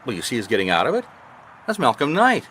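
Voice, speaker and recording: An actor playing a shrewd, dogged private detective in a radio mystery, questioning people in a wry, seemingly casual way. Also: male voice, medium pitch, wildly swooping pitch, quick pace, a bit bright. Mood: puzzled, watchful. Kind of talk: radio mystery drama acting. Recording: noisy radio drama recording.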